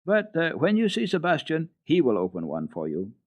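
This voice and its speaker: A man's voice: on the high side, wildly swooping pitch, moderate pace, pitched above the performer's own voice. Man reading as a friendly, lilting young girl. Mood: amused, helpful. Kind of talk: children's radio story reading. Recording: tinny band-limited archival radio recording.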